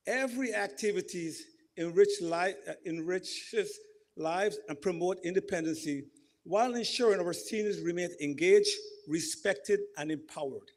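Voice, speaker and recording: High-voiced Guyanese man giving a speech. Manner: emotional, halting, repeats and corrects himself. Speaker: event speaker. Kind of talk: speech at a public reception. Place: community center hall.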